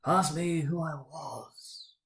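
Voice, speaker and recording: A man voicing a mournful male ghost in a dramatic storybook reading, with a slow, drawn-out, wailing solemnity. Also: fairly high pitch, fairly even intonation, a bit bright, voice pushed up. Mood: eerie, solemn. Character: mournful male ghost of a dead business partner.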